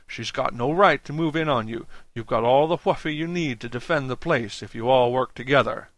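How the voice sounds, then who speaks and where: A man's voice: mid-range voice, a little fast, fairly bright tone, no room sound, lightly acted. A man voicing a fierce, magnetic male organizer who exhorts and demands like a preacher in an audiobook. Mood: exhorting. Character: rabble-rousing male orator, fierce, magnetic, drawling organizer. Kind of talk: audiobook character acting.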